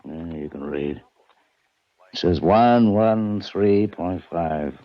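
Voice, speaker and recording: An actor playing a gruff, laconic male police lieutenant who sounds stumped, in 1940s radio detective style. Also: low voice, takes it slowly. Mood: gruff, baffled. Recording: muffled 1940s radio recording.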